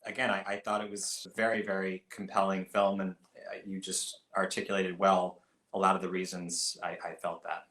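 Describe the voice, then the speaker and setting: A man on a film podcast weighs his opinions carefully, often restarting his sentences. His voice sits on the low side. The room around him gives off a clear echo. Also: level tone, fairly bright tone. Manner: thoughtful, measured, with frequent restarts. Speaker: podcast co-host. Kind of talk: casual film review chat. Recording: roomy echo.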